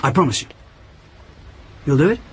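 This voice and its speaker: Urgent British spy husband, animated delivery. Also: male voice, a little high, normal speed, a little hiss or hum. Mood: coaxing. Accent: British English. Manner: urgent, persuasive. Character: earnest British intelligence officer husband, tense, persuasive, patronizing. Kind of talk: radio drama acting.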